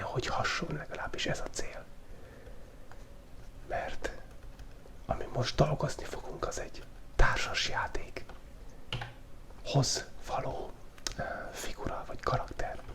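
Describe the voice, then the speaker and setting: A man hosting a relaxed ASMR drawing video, whispering in a loose, playful way and thinking aloud as he sketches, close to the microphone. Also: a little high. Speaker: channel host. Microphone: close studio microphone picking up pen tablet.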